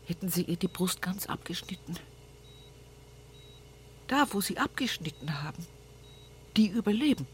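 An actress playing a grieving, devout old country mother in a radio play monologue, plain-spoken and often hushed. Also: on the low side, lively intonation, slight background noise, close to an everyday voice. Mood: grieving, bitter. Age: older. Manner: slow, hushed, often whispering, folksy.

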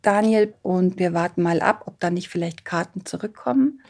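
A woman's voice: on the low side, moderate pace, darkish tone. A middle-aged or older woman who sounds like a primary school teacher, casually recalling memories. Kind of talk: casual recollection.

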